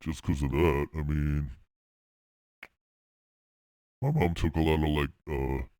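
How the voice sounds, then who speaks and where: A slow, deep dumb-jock voice. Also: male voice, fairly even intonation, darkish tone, dry recording, clean studio sound. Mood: offended. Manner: drawling, sluggish and indignant. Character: slow dim-witted male jock, easily offended.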